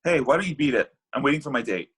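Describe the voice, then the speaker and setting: A man's voice: mid-range voice, expressive, fast talker, fairly bright tone, slightly rough. A man in a sitcom table read playing a lovelorn, cocky, needy matchmaker in a sardonic, conversational way.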